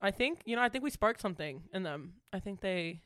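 A young woman co-hosting a podcast, chatting casually in a relaxed, rambling way. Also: medium pitch, brisk, no echo, clean studio sound. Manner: relaxed, chatty, hesitant with fillers. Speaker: podcast co-host. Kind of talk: casual chat.

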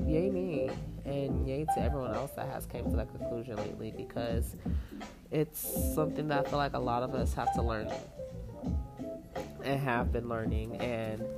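A woman hosting her own podcast in a loose, rambling chat at home, full of fillers and casual swearing, sounding a little stuffy from allergies. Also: very deep voice. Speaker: podcast host. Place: home, laundry dryer running. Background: lo-fi music playing under the voice.

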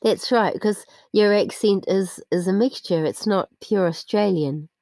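A woman from New Zealand with a Kiwi accent, hosting an interview and encouraging her guest with quick affirmations. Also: mid-range voice, gravelly, mellow, dry recording. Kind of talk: casual interview chat.

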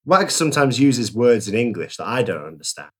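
A man's voice: medium pitch, big pitch swings, a little fast, a bit bright, breathy edge. A man hosting a podcast with playful, elaborate, eloquent phrasing. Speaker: podcast host. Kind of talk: casual chat.

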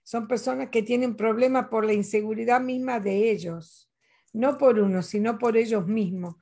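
Older woman, from Latin America, gravelly voice, reflective casual talk. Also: fairly high pitch, steady tone, normal speed, mellow. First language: Spanish. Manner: earnest, reflective, tells personal anecdotes. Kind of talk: casual conversation.

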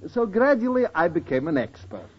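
An actor playing a wry, teasing older professor in an old radio sitcom, with theatrical joke-telling and odd turns of phrase. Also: male voice, sits high, sing-song, brisk. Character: wry, teasing older professor, grumbling fondly about women. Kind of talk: 1940s-50s radio sitcom acting. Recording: dull old-time radio recording.

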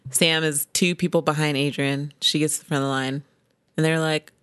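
A woman telling a story in casual chat, animated and acting out what people said. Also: sits low, brisk, clean tone, dry recording, clean studio sound. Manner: animated, acts out quotes in voices.

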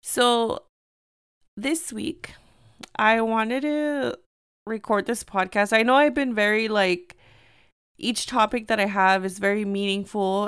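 A young woman hosting her own podcast, slightly hoarse and tired, chatting casually and telling a story with lots of "like". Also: mid-range voice, a bit bright, no room sound, clean studio sound. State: tired from lack of sleep. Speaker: podcast host. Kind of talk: casual solo podcast chat.

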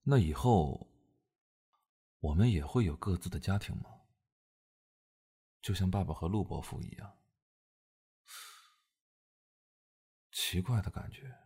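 A man acting an aloof young male officer in an audio drama, terse and cool with a guarded soft side. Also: deep voice, husky, heavily acted. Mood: pensive.

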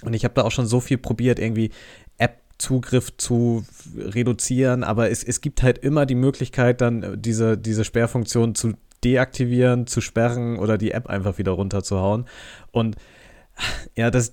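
A man chatting casually on a podcast, informal and often halting to rephrase himself. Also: on the low side, a little mellow. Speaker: podcast co-host.

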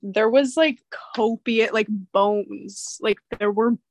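A woman's voice: medium pitch, slow pace, a little hiss or hum. A young woman in casual chat, telling rambling stories with lots of fillers and a slightly nasal sound. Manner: rambling, animated storytelling with many fillers.

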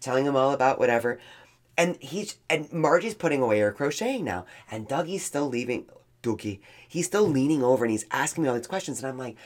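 A middle-aged woman co-hosting a podcast, telling a long funny story in a casual, animated way, stumbling over words and jumping into quotes. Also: extremely low pitch, fairly quick. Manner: animated, jokey, lots of restarts and quoted voices. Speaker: podcast co-host.

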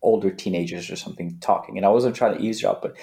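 Low voiced guy in his late 30s, from afghanistan, lots of um and restarts. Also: vocal fry, dark tone. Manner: halting, many restarts and fillers. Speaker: podcast guest, entrepreneur. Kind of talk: casual interview chat.

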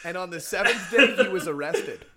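A man's voice: sits high, lively intonation, normal speed, a crowd in the background. A man co-hosting a comedy podcast, throwing crude, mock-grand wisecracks and swearing. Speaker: podcast co-host. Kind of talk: casual comedic podcast chat.

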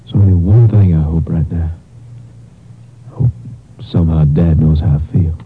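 An American actor playing a dejected, guilt-ridden young gambler in a 1950s radio drama, hesitant and subdued. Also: male voice, extremely low pitch, normal speed, a little hiss or hum. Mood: anguished. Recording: thin, narrow 1950s radio recording.

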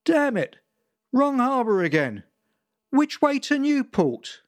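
A man voicing a lost, brash power boater who shouts demands. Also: very high-pitched, huge pitch swings, extremely slow, voice pushed up, full character voice. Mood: demanding.

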